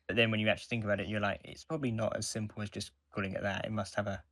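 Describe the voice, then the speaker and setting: A man's voice: fairly low pitch, little pitch movement, racing, a bit bright, no room sound, clean studio sound. A man co-hosting a podcast, talking casually as he reviews and gives his opinions. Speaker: podcast co-host.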